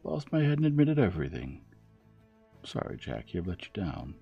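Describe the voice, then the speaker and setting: A man's voice: low voice, wildly swooping pitch, moderate pace, gravelly, slightly rough, muffled recording, faint music behind, voice pushed up, full character voice. A man voicing a boyish, broken young man in an audiobook, rueful and apologetic.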